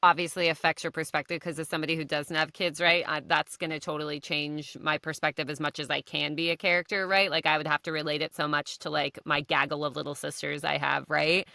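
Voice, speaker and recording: A woman's voice: low-pitched, flat delivery, fast talker, creaky, few pauses, pushed and bright, no echo. A woman chatting casually in a rambling way, often checking in with a tagged-on question. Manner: rambling, ends phrases with "right?". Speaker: voice actor on a podcast panel. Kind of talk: casual chat.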